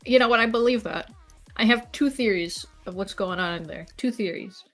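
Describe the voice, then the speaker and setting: A woman's voice: mid-range voice, moderate pace, a bit bright. A woman chatting casually as a guest on a stream, telling small stories in a playful, wry way. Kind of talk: casual chat.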